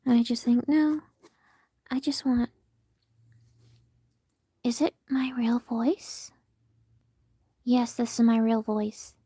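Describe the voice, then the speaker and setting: A woman chatting candidly with her viewers while she draws on a live stream, often half-whispering in a soft, very feminine voice, picked up by her phone. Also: a little high, steady tone, takes it slowly, long pauses, breathy edge, dry recording, studio quality. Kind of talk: casual livestream chat answering viewers.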